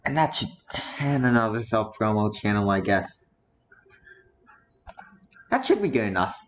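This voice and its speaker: Low-voiced teen boy streamer, slow and lo-fi. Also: lively intonation. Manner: muttering, reading aloud while browsing. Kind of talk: casual live-stream commentary. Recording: dull lo-fi audio with faint noise.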